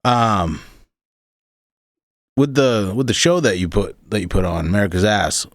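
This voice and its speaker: Low-voiced man hosting a relaxed interview. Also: measured pace, no echo, clean studio sound. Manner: relaxed, asks questions with hesitant fillers. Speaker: podcast host. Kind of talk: casual interview.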